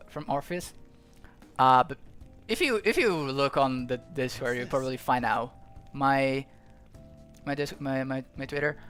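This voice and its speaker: A man's voice: a little high, fairly bright tone. Rambling Brazilian-accented young man streaming. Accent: Brazilian Portuguese. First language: Portuguese. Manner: rambling, thinking aloud with frequent restarts. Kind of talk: casual gameplay commentary.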